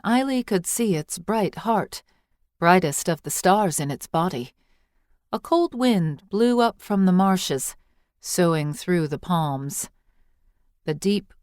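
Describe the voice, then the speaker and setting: A woman reading scripted fiction as an audiobook narrator, with measured, expressive storytelling. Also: fairly low pitch, no room sound, studio quality.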